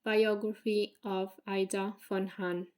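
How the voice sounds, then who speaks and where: A young woman with an Italian accent reads her prepared thesis presentation in English. Her delivery is flat and even, careful and measured, with the odd slip as she corrects herself. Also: medium pitch. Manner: careful, measured reading with slips. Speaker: graduate student.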